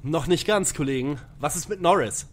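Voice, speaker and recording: A man's voice: fairly high pitch, normal speed, close to an everyday voice. A man playing an eager young detective, earnest and formally articulate, in a parody audio drama. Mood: probing.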